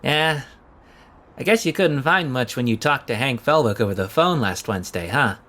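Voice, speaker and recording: Actor as an awkward, overeager young man, swooping pitch. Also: animated, moderate pace, fairly bright tone, natural voice. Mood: eager, awkward. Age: young adult. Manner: hesitant, stammering, rambling. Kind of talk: audio drama acting.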